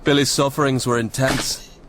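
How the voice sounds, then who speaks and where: An actor playing a weary, sombre soldier in a radio drama, speaking gravely and with measured, old-fashioned phrasing. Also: male voice, mid-range voice, fairly even intonation, noisy background, lightly acted. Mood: grave, haunted. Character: sombre Irish soldier sergeant, weary eyewitness storyteller. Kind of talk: radio drama acting and narration.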